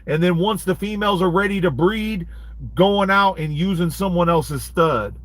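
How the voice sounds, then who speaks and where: A man's voice: high-pitched, moderate pace. A man in his late thirties, a dog breeder hosting a casual livestream and answering viewer comments in laid-back street slang. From United States. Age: 30s.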